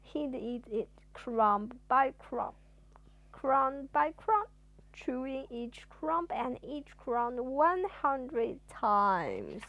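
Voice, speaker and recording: A woman's voice: high-pitched, lively intonation, extremely slow, dry recording, dull audio. A woman reads a children's storybook expressively, like a mother at bedtime, drawing out words for theatrical emphasis. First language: Chinese.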